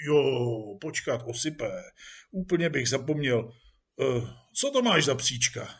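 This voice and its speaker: A man's voice: mid-range voice, sing-song, a bit bright, husky, heavily acted. A man voicing a pompous, jovial, patronizing police chief in an audiobook story, with a condescending, chummy manner. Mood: casual, wheedling. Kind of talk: acted audiobook dialogue.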